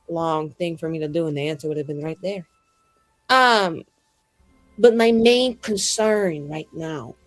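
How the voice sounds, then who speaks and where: A woman's voice: fairly low pitch, no echo. A woman in casual roleplay chat as a tabletop game player, with a flippant, rambling, slangy way of talking. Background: background music.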